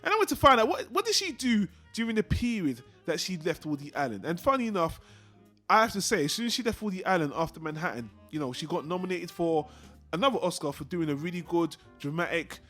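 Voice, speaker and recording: A man's voice: high-pitched, big pitch swings, quick pace, a bit bright, faint music behind. A man hosting a casual film discussion in a rambling, conversational way, swearing freely. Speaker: film review podcast host.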